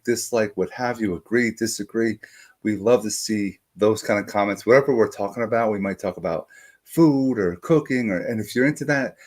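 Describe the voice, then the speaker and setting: A middle-aged man hosting a live podcast, energetic and enthusiastic, talking straight to his viewers. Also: on the low side. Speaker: podcast host. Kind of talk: upbeat show introduction and interviewing.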